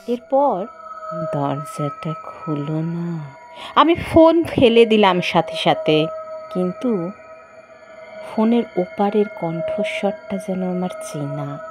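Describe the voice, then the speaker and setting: Dramatic, slow woman storyteller. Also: medium pitch. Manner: suspenseful, theatrical delivery with drawn-out pauses. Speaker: horror audio story narrator. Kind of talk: dramatic first-person horror story narration. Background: background music.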